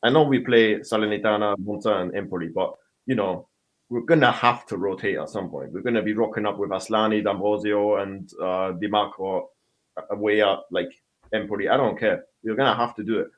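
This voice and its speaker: A man's voice: lowish voice, brisk, poor audio. A man co-hosting a podcast, talking football in a rambling, hesitant way with many ums. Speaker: podcast co-host. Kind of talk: casual football chat.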